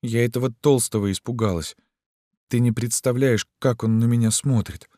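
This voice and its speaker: Male narrator as young girl, raised pitch, soft, plaintive, vulnerable, dry close recording. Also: steady tone, normal speed, no room sound, lightly acted. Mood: frightened. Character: vulnerable, plaintive girl, frightened yet defiant.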